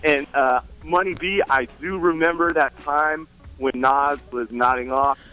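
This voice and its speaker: A man's voice: fairly high pitch, steady tone, a little slow, sharp tone, low-quality recording. A man from Oakland calling in to a show, eager and chatty as he reminisces. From Oakland, California. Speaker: caller. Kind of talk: call-in chat.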